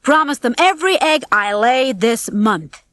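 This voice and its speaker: A woman voicing a cocksure, smooth-talking male rooster in a storybook, slangy and unfazed. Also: on the high side, expressive, measured pace, a bit bright, dry recording, voice pushed up. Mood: cocky. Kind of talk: acted storybook dialogue.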